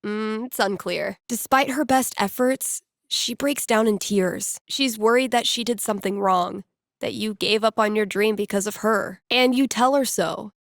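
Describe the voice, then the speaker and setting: A woman's voice: mid-range voice, a little slow, vocal fry, no echo, clean studio sound. A woman playing a wry, playful young small-town radio DJ in a video game, talking casually with teasing asides. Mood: gentle, wry storytelling.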